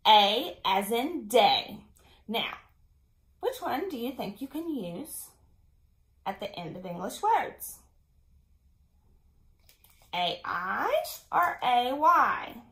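A woman in her thirties giving young children a lesson, speaking as an encouraging, deliberate teacher. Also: on the high side, fairly bright tone. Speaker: elementary school teacher. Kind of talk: children's video mini lesson.

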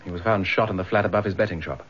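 An actor playing a detective's wry, helpful British friend in a naturalistic radio drama. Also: male voice, deep voice, racing, fairly bright tone, slightly rough, lo-fi sound. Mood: wry, informative.

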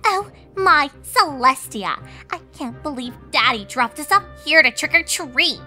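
A woman's voice: sits high, expressive, a little slow, sharp tone, faint music behind, voice pushed up, exaggerated voice. A woman voicing a spoiled, snobby young female pony, petulant and sarcastic, in a story reading. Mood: outraged.

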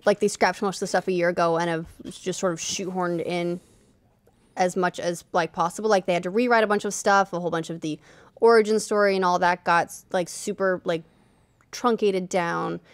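A woman's voice: on the low side, fairly even intonation, brisk, no room sound. A woman in her thirties chatting casually about games as a podcast co-host, close on a studio microphone. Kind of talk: casual gaming chat. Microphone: desk studio microphone, close.

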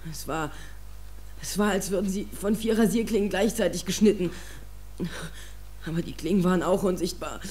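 A man's voice: sits high, moderate pace, darkish tone, close to an everyday voice. A male actor playing a distraught, defensive teenage boy in a German audio drama, speaking with anguish and agitation. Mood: anguished, guilty. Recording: faint hum and noise, cassette audio drama.

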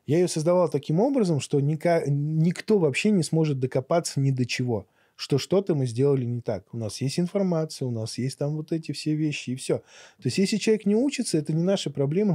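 A man's voice: fairly high pitch, warm tone, breathy edge, no echo. A middle-aged man talking conversationally as a podcast guest, explaining things through anecdotes, recorded close on a studio microphone. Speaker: podcast guest, barber and teacher. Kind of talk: conversational interview talk. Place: small acoustically treated podcast studio. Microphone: boom-mounted studio microphone up close.

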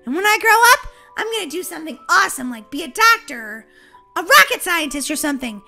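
Woman doing a sweet earnest little girl for a roblox story, swoopy high voice, music behind. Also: sing-song, fairly quick, pushed and bright, exaggerated voice. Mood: dreamy, proud. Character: sweet, earnest young girl who loves her family. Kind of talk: acted game roleplay story.

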